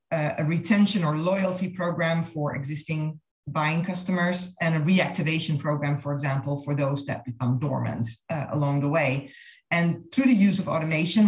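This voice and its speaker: A woman giving a businesslike, explanatory slide presentation over a video call. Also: low-pitched. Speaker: marketing consultant presenting a case study. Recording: roomy, echoey video-call audio.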